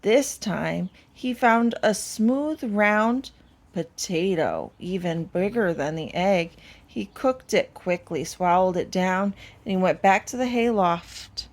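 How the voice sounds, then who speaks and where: A woman reading a children's story aloud, expressively, with deliberate dramatic pauses. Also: medium pitch, expressive. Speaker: storybook reader.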